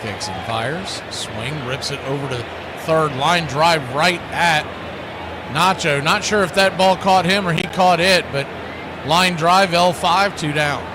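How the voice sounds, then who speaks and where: A man's voice: on the high side, unhurried, noisy background. A man doing baseball play-by-play as a radio host, in clipped, punchy calls mixed with casual chat.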